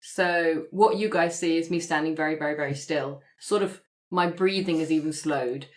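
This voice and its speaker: A woman's voice: sits low, normal speed. A woman playing a tabletop roleplaying game, talking conversationally in and out of character. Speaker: player in an actual-play podcast.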